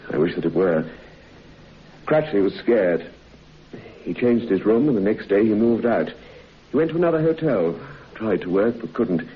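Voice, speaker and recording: An actor playing a sombre man telling of a friend's horrifying ordeal in a suspenseful, measured radio drama style. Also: lowish voice, breathy edge. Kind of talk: dramatic radio horror narration. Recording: muffled, noisy old radio recording.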